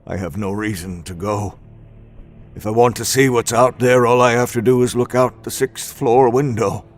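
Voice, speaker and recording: An actor playing a reclusive, self-loathing man in a crumbling apartment, narrating his life in a slow, brooding voice. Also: medium pitch, fairly even intonation, lightly acted. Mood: bleak, brooding. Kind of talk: first-person audio drama narration. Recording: music score underneath.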